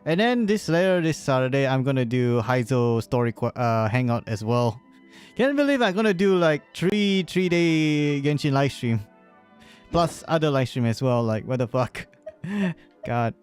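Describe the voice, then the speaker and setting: A man's voice: medium pitch, lively intonation, unhurried, darkish tone, smooth, soft background music. A man doing casual livestream commentary over a game, rambling and repeating himself, often chuckling between phrases. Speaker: live streamer.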